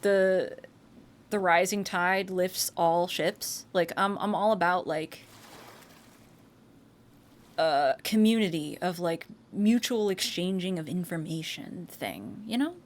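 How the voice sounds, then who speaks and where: A woman's voice: on the low side, slow pace. An American woman, a streamer and voice actor, chatting casually and rambling, with frequent restarts, swearing and laughter. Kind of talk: casual live-stream chat.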